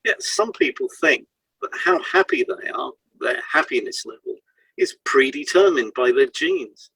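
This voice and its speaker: Man with very animated, swooping pitch. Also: unhurried, gravelly, husky, no room sound, low-quality recording. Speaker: podcast co-host and hypnotherapist. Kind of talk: scripted educational narration, read aloud.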